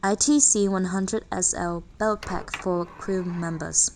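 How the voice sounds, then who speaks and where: A woman's voice: fairly low pitch, steady tone, slow, a little mellow, a little hiss or hum. A woman reading a scripted product overview in a formal manner, listing specifications. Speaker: narrator.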